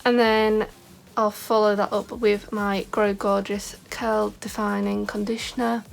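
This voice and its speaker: A woman's voice: medium pitch, little pitch movement, measured pace, creaky. A young woman vlogging in a casual, rambling way, full of "like" fillers, with a scratchy voice today. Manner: chatty, rambling, many "like" fillers. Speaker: beauty vlogger. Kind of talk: casual chat to camera.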